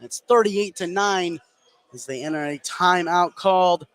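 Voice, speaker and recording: A man doing live play-by-play basketball commentary for radio, getting excitable on big plays. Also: sits high, measured pace. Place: indoor gymnasium during a game. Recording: noisy live gym broadcast.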